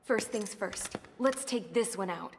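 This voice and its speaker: A woman's voice: mid-range voice, measured pace, noisy background, lightly acted. A woman playing a tough, matter-of-fact female military mechanic in a video game cutscene. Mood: resolute. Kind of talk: scripted game cutscene acting.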